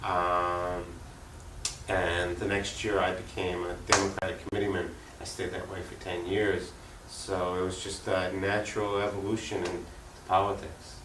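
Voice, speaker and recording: A man from Long Island, New York, giving conversational, explanatory interview answers with halting pauses. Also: deep voice, little pitch movement. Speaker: interview guest, political activist. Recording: roomy, distant mic, faint noise.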